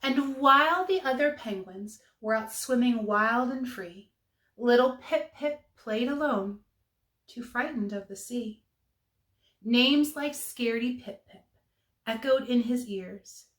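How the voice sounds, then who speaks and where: A woman reading a children's storybook aloud with expressive, emphatic phrasing for young listeners. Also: a little high, takes it slowly, reverberant, poor audio.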